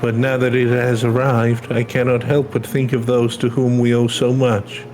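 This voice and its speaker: A man's voice: mid-range voice, monotone, moderate pace, darkish tone, soft background music, lightly acted, poor audio. A man playing a solemn, wistful refugee, reading game dialogue in a hesitant, sombre way.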